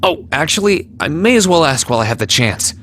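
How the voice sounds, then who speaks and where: A man's voice: fairly high pitch, big pitch swings, a little fast, a bit bright, slight background noise. An actor playing a polite, earnest and cautious young man in a video game, speaking a little hesitantly. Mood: polite, surprised.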